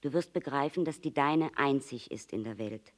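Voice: medium pitch, moderate pace, close to an everyday voice. A storyteller voicing an earnest, wistful fox who longs to be tamed, speaking in an emphatic, pleading, explanatory way. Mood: sad, gentle. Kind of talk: storybook character reading.

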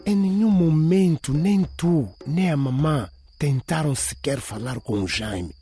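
A man's voice: fairly high pitch, measured pace, dark tone, slightly rough, pitched above the performer's own voice. A man voicing a frustrated, defiant young daughter in a radio drama.

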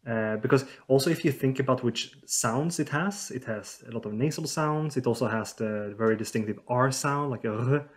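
A man from southern Sweden answers interview questions as a linguistics expert, pausing often to find the words. His voice sits at an ordinary middle pitch, and he reasons through each idea carefully. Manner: thoughtful, hesitant with many fillers. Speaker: linguist guest.

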